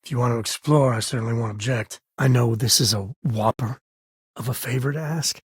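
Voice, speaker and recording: An easygoing male space rogue and protective father from a video game. His mid-pitched voice is heavily husky and rough at the edges. He talks in a folksy, wry, casual way, recorded very dry. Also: fairly quick, dry recording. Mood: sincere. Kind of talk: video game character voice lines.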